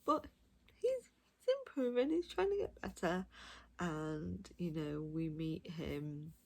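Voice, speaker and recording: A woman giving a casual, unscripted review of audiobooks, gushing and emphatic, speaking in short, halting phrases. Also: low voice, big pitch swings, vocal fry, darkish tone.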